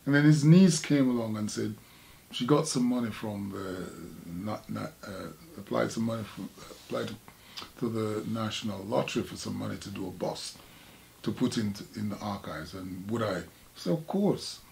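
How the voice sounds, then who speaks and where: An older man from Jamaica with a Jamaican accent, an artist recalling his past in a halting, reflective conversation. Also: lowish voice, vocal fry, darkish tone, a little hiss or hum. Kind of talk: casual recollection in conversation.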